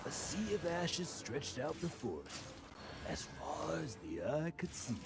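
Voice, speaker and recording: A man's voice: fairly high pitch, big pitch swings, a little slow, exaggerated voice. A man voicing a boastful adult adventurer in a video game, grandiose and theatrical in his storytelling. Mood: dramatic storytelling. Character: boastful adult male adventurer, self-styled legend. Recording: studio game dub with clear music behind.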